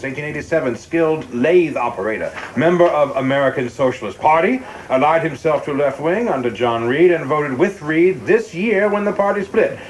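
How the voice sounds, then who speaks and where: An actor playing a young, ambitious government investigator in a radio play, coldly precise, formal and deferential but sure of himself. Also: male voice, a little high, a little hiss or hum, lightly acted, rough recording. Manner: deferential but self-assured, formal and exact.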